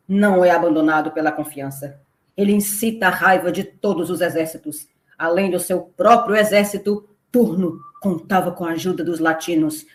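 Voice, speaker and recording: A woman with a Brazilian accent narrating a scripted radio serial in a theatrical announcer style. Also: fairly low pitch. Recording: roomy echo, music behind. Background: music.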